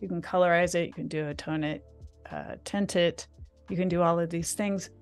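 A woman's voice: on the low side, steady tone, normal speed, clear, resonant tone. Woman, enthusiastic tutorial guest on a video call, faint music bed. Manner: chatty, enthusiastic. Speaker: guest designer demonstrating software. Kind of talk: live screen-share tutorial.

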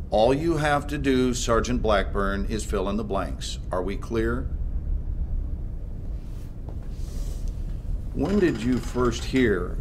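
A man playing a sardonic, controlled government agent leading an interrogation in a video game, speaking in a measured, probing way. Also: medium pitch, slight background noise, close to an everyday voice. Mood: pressing. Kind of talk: naturalistic video game acting.

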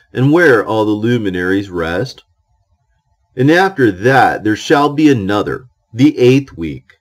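Male narrator, solemn scripture reading, deep-leaning voice, widely swinging intonation, declamatory, deliberate pauses. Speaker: audiobook reader of scripture.

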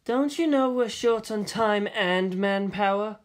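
A reserved, exacting woman scientist played by a female narrator for an audiobook. She speaks in a cool, flat, measured way and takes her time. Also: medium pitch, pitched above the performer's own voice, lightly acted. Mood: impatient. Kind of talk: audiobook character voice.

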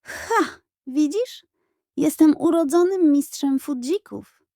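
A woman's voice: high voice, lively intonation, slow, mellow, dry recording, studio quality, pitched above the performer's own voice, exaggerated voice. Woman voicing Piotrek, a boastful, dramatic boy. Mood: triumphant. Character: Piotrek, boastful, determined young boy, an older-acting brother.

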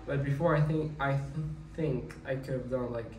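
A young man around twenty muses aloud at an ordinary pitch, rambling and wistful, restarting sentences as he thinks. He sits back from the mic in an echoey room, with a faint ambient hum behind him. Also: steady tone, normal speed, darkish tone, recorded from a distance. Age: young adult. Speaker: vlogger. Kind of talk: casual musing monologue.

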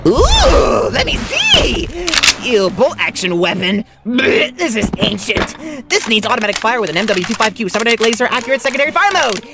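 A man voicing an excitable, disdainful sci-fi weapons geek with theatrical delivery, game style. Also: very high-pitched, normal speed, few pauses, very noisy, full character voice. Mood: excited, then disgusted. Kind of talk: video game style character acting.

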